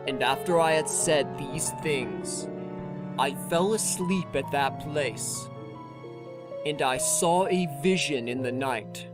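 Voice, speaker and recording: A narrator reading a scripture audiobook in a solemn, measured, formal manner. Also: mid-range voice. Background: music.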